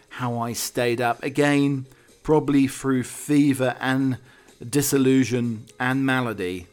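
A man hosting a comic talk on the week's news, wry and digressive, with hesitant asides. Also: mid-range voice. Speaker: podcast host.

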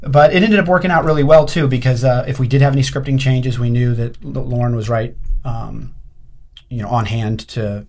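A man's voice: medium pitch, fairly even intonation, normal speed, darkish tone. A man who works as an animation creative director, talking casually in an interview and often restarting his sentences.

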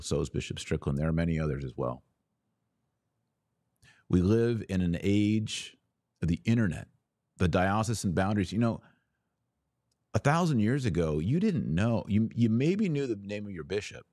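A man hosting a religious news podcast, commenting and reading aloud with deliberate, emphatic phrasing and drawn-out words. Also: low-pitched, darkish tone, no room sound, clean studio sound. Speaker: podcast host.

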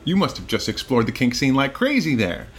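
A man's voice: medium pitch, huge pitch swings, a little fast, slightly rough. A man hosting a podcast, talking in a loose, rambling way with many restarts, then interviewing a guest. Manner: rambling, friendly, full of restarts. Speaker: podcast host.

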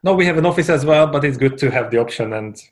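A man from Hungary, a guest on a podcast, answering questions in a relaxed, conversational way and laughing easily. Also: mid-range voice, fast talker. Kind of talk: casual interview answers.

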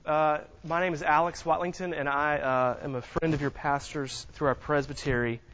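A man preaching a sermon as a visiting minister in a church, speaking softly with many fillers and pauses, with no microphone. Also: fairly high pitch, fairly even intonation, dull audio. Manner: soft-spoken, halting with frequent fillers. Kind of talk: sermon with scripture reading. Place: church sanctuary. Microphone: no microphone, distant.

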